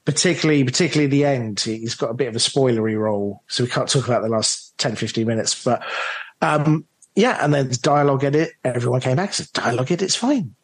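A man with a medium-pitched voice talks as an interviewed director. He is enthusiastic and conversational, stressing his points with emphasis. The sound is dry, with very little room in it. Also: fast, slightly rough, no room sound. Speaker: audio drama director being interviewed. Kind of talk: animated interview chat.

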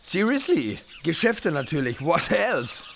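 Casting: swaggering startup-bro mogul for satirical podcast drama, higher-pitched, fry-laden voice, hype talk with English buzzwords. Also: male voice, big pitch swings, unhurried, a bit bright, slight background noise, heavily acted. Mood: brisk, chummy. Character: cocky woke male influencer mega-entrepreneur, slick, self-promoting.

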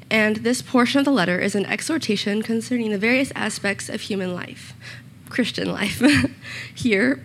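A young woman giving a short church sermon with a slightly raspy voice, earnest and personal, with small self-conscious asides. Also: fairly high pitch, slight background noise. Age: young adult. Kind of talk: sermon with scripture reading and prayer. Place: church room.

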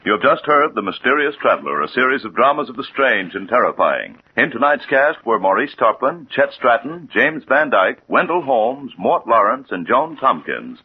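A man's voice: medium pitch, very animated, normal speed, few pauses, phone-quality sound, slight background noise. A man announcing scripted credits in a formal, emphatic 1940s radio style. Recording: very thin, band-limited 1940s radio recording. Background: organ music.

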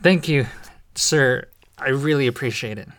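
A man's voice: mid-range voice, measured pace, a bit bright, natural voice. A man playing a polite, easygoing young student in an audio drama, casual and unassuming. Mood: polite, grateful. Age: young adult. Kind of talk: naturalistic audio drama acting.

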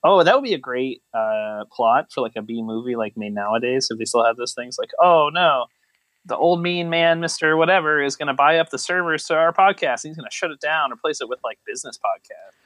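A man with a medium-pitched voice who talks very quickly, recorded dry and close. He riffs playfully as a comedy podcast host, slipping into imagined quotes. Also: expressive, a bit bright, dry recording. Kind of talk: casual comedic banter.

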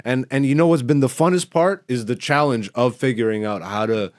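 A man's voice: medium pitch, a little fast. Emphatic, profane comedian man chatting on a podcast. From born in Greece, Ethiopian by blood. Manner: slangy, profane, emphatic storytelling. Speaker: stand-up comedian and podcast host. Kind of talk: casual, animated podcast chat.

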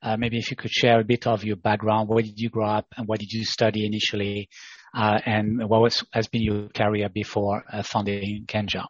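A man hosting a podcast, introducing guests and asking questions in a halting way, with frequent fillers and restarts. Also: lowish voice, monotone, highs cut off. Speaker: podcast host.

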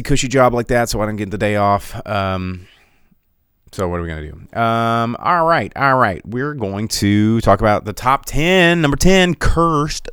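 A man's voice: mid-range voice, a bit bright, smooth, dry recording, clean studio sound. A man hosting a podcast, giving a casual, rambling run-through of weekend box office numbers with many fillers and restarts. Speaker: podcast host.

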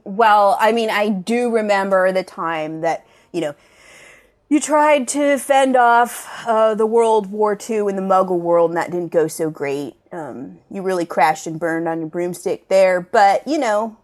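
A woman's voice: medium pitch, close to an everyday voice. Sardonic, griping student played by a woman. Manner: sardonic, halting, slangy. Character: unimpressed, griping slacker student of magic. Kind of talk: improvised comedy sketch.

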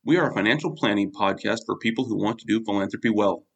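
A man's voice: on the low side, gravelly, fairly bright tone, slightly rough. A man hosting a podcast, reading a scripted intro and then chatting with his guest. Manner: brisk scripted intro, then friendly interjections. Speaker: podcast host. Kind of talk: podcast interview.

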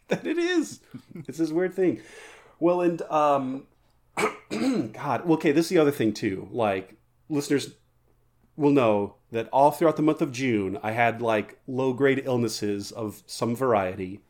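A man's voice: medium pitch, expressive, measured pace, a little mellow. Rambling, jokey male podcast co-host. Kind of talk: casual podcast banter.

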